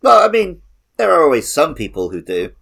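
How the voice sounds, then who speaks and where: A man's voice: a little high, huge pitch swings, fairly quick, gravelly, fairly bright tone, breathy edge. A man co-hosting a podcast, chatting casually about a video game. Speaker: podcast host.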